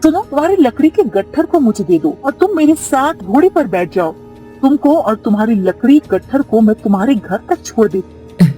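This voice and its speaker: A woman's voice: a little high, animated, normal speed, warm tone, faint music behind, natural voice, poor audio. A woman playing an earnest, kind but choosy young princess in a cartoon story. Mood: concerned, insistent.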